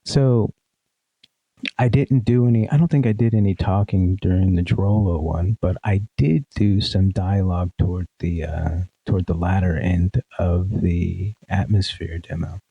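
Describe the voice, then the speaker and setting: Sleepy, gravelly low-voiced man. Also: unhurried, no room sound, muffled recording. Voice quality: sleepy, nasal. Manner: relaxed, many fillers and restarts. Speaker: guest, pedal demo creator. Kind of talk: casual interview.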